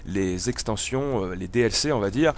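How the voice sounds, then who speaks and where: A man's voice: lowish voice, normal speed, slight background noise. A man with a cold giving casual, hesitant, meandering gameplay commentary as the host of a gaming video. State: has a cold.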